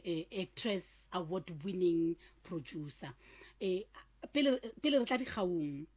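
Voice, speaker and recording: South african lady hosting a chat, mid pitch, keeps saying mm to egg the guest on. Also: darkish tone. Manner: encouraging, with frequent backchannel murmurs. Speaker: interview host. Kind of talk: conversational interview, mixing Sesotho and English.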